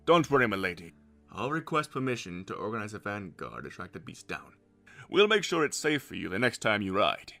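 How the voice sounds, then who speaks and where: A loyal captain of the royal guard , acted by a man, with a forceful, bright voice that swings widely in pitch. He is kindly and deferential, full of avuncular concern, in a fantasy audio drama. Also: very animated, a little fast, vocal fry, dry recording, soft background music, full character voice. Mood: alarmed, resolute.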